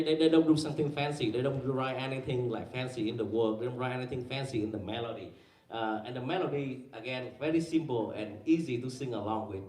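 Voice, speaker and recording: Male panel presenter, mid-pitched and halting, heavy fillers, quizzes the room, recorded from a distance. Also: a little mellow. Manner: halting, many fillers and restarts, quizzes the audience. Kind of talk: academic presentation with slides. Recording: echoey room, mic far away.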